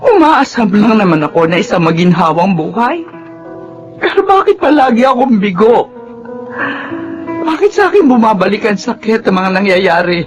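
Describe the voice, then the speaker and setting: A woman's voice: mid-range voice, big pitch swings, normal speed, close to an everyday voice. An actress playing an older, money-minded Filipino mother-in-law in a Tagalog radio drama, with a melodramatic delivery. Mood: remorseful. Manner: scolding, melodramatic. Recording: music under the voice.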